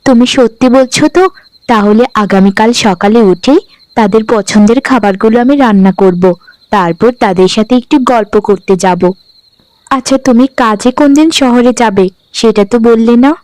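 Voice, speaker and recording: A woman voicing a gentle, dutiful young new bride in a cartoon, speaking meekly and earnestly. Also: on the high side, normal speed, dry recording, lightly acted, low-quality recording. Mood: gently earnest. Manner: meek, earnest, conciliatory. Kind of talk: Bengali cartoon dialogue acting.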